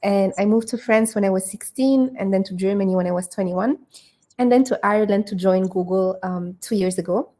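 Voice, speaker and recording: A woman's voice: medium pitch, a little fast, warm tone. A woman in her twenties who grew up in Italy, talking fluently and upbeat as a guest in a casual interview, often laughing between thoughts. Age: 20s. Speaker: guest, sales manager and personal branding founder.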